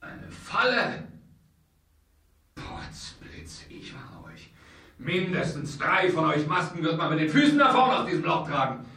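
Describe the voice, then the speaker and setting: An actor playing a swaggering, hot-headed marquis in a radio play, proud and blustering. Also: male voice, on the high side, big pitch swings, sharp tone, exaggerated voice. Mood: blustering alarm. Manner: haughty, blustering, slow. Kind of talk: German radio play acting. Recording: very echoey room, distant mic.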